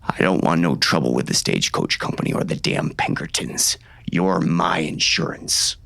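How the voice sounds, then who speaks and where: An actor playing a hard, commanding western gang boss, stern and clipped, in an audiobook. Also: male voice, very deep voice, sing-song, normal speed, vocal fry, pushed and bright, slight background noise, heavily acted. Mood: cold, menacing. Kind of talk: first-person western audiobook narration and dialogue.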